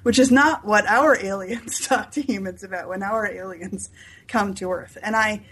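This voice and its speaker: A woman author being interviewed, explaining their fictional world in enthusiastic, detailed lists with a husky voice. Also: medium pitch, moderate pace, fairly bright tone, poor audio. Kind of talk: unscripted explanatory interview talk.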